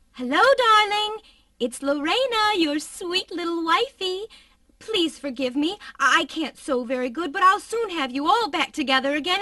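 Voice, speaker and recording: A woman's voice: sits high, bright, forceful tone, dry recording, clean studio sound. A woman voicing a deranged, sugary-sweet murderous young wife who talks in a sing-song, doting way. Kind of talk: haunted attraction acting. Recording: distant with music behind.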